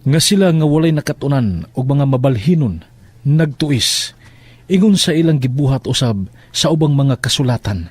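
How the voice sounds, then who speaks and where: Husky Filipino man reading scripture. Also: medium pitch, lively intonation, normal speed, darkish tone, no echo. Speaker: scripture reader. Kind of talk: solemn scripted Bible reading.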